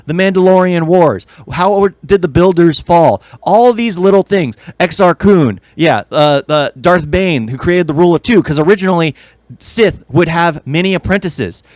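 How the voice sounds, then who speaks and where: A man's voice: a little high, no room sound. A man co-hosting a podcast in casual chat, rambling with enthusiasm and strong opinions. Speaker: podcast co-host. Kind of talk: casual chat about video games and film.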